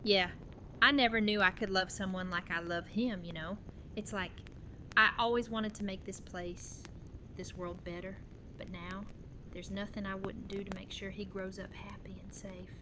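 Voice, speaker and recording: A woman plays a young widowed mother in an audio drama. She is earnest and resolute, with a tender, conversational delivery at a middling pitch. Noticeable ambient noise sits behind the voice. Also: fairly even intonation, normal speed, bright, forceful tone, lightly acted, poor audio. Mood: fond, proud. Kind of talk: fan audio drama dialogue. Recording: clear background noise and ambience.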